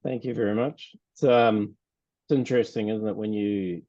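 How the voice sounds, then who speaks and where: Low-voiced New Zealand host, dull dry audio. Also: male voice, fairly even intonation, moderate pace, dark tone, no room sound. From rural New Zealand. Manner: chatty, laughs often, trails off. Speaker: podcast host. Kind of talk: casual podcast chat.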